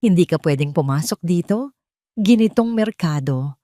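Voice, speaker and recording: A woman's voice: on the low side, expressive, moderate pace, dark tone, dry recording, studio quality, lightly acted. A woman voicing an elderly head housekeeper in an audio story, icy and contemptuous. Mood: cold scorn. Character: cold, scornful elderly female head housekeeper.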